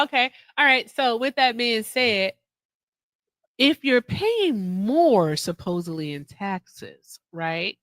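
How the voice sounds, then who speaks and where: A woman's voice: medium pitch, fairly bright tone, no echo. A young woman hosting a casual livestream, teasing and blunt as she presses her guest for answers. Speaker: livestream host. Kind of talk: casual live-stream chat.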